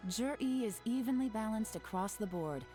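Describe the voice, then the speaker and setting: A woman voicing a cool, secretive female intelligence agent in a video game, speaking in a calm, measured, controlled way. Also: a little high, soft background music, close to an everyday voice. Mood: composed.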